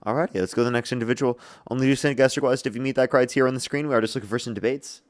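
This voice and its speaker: A young man hosting a live debate stream, briskly taking callers and repeating his stock lines to viewers. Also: mid-range voice, fairly even intonation. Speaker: livestream debate host. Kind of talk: live-stream call-in chat.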